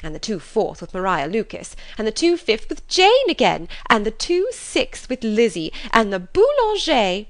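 A woman reading aloud, voicing an excitable, gushing middle-aged mother who talks in high, emphatic bursts. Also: normal speed, few pauses. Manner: breathless, effusive, high and emphatic.

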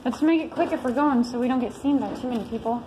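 A woman with a US Midwest Ohio accent, exploring an abandoned school and talking casually, cautious and a bit hushed about being seen. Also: on the high side, fast, crowd noise, rough recording. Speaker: urban explorer filming. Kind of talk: casual chat while exploring. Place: dark abandoned school building, indoors.

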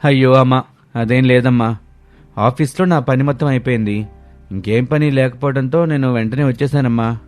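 A man's voice: mid-range voice, moderate pace, no echo, faint music behind, natural voice. A man playing a caring, placating young Telugu husband and dutiful son. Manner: gentle, placating.

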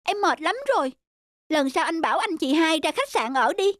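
High, complaining young wife. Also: female voice, animated, normal speed, dry recording, studio quality. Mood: irritated. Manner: complaining, pointed. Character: irritable, put-upon young city wife. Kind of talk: cartoon dubbing.

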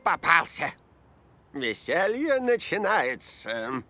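A scheming, haughty old cartoon mad scientist. He speaks extremely slowly in a sinister, gloating way, pitch swooping high and wide, with music behind. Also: sing-song, bright, forceful tone, exaggerated voice. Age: older. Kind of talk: animated cartoon dub.

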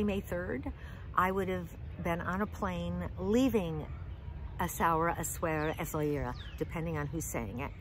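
An older woman telling funny food stories in a chatty, animated way, filmed outdoors in the woods on a close phone camera. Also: on the low side, a little hiss or hum. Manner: chatty, animated, with lots of fillers and jokes. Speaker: vlog host. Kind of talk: casual comic storytelling.

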